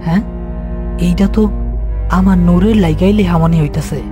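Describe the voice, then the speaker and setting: A man's voice: high-pitched, moderate pace, over music, natural voice. A man voicing an anxious, protective young uncle in a cartoon, speaking colloquially with a Bangladeshi accent. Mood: pondering. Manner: tender, worried, colloquial. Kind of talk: horror cartoon character acting.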